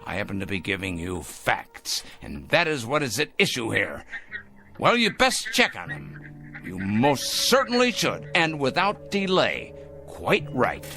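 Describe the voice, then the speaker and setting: An actor playing a fanatical, self-righteous male vigilante in a radio drama, swinging from hushed murmurs to shrill outbursts. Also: wildly swooping pitch, unhurried. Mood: indignant. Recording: faint music score behind.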